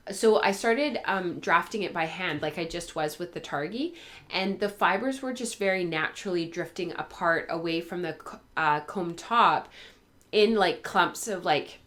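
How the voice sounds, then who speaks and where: A woman's voice: lowish voice, fairly bright tone. A woman hosting a craft vlog, chatting casually and thinking aloud in a rambling, informal way, her voice a little tired from a cold. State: getting over a cold, voice tiring. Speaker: host of a spinning and fibre craft show. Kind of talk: casual chat to viewers.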